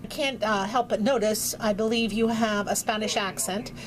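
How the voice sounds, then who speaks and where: A woman's voice: medium pitch, steady tone, normal speed, low-quality recording. A woman conducting an informal interview, asking questions in an attentive, appreciative manner.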